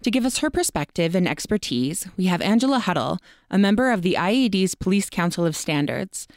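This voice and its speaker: A woman hosting a podcast in a conversational interview style, with a smooth, clear voice. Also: lowish voice, sing-song, a little fast, dry recording, studio quality.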